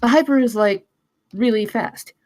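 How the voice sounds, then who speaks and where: A woman reading the part of an anxious, awkward young woman in a screenplay table read, halting and stammering. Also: a little high, a little mellow, dry recording, clean studio sound, close to an everyday voice. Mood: hesitant, puzzled.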